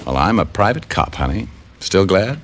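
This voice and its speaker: An actor playing a tough, wry private detective with an American accent and clipped, needling delivery in an old radio crime drama. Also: male voice, deep voice, big pitch swings, normal speed, heavily acted. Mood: hard, accusing. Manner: wry, needling, clipped hard-boiled talk. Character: tough, wry male private detective, hard-boiled narrator. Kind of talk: 1940s-50s radio detective acting and narration. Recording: old radio recording, music behind.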